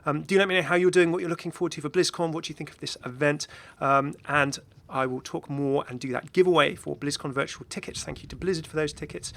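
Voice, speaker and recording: Chatty man with bright, creaky voice. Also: a little high, steady tone, fast, hardly any pauses. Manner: chatty, rambling. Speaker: gaming YouTuber. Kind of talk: casual unscripted video commentary and channel update.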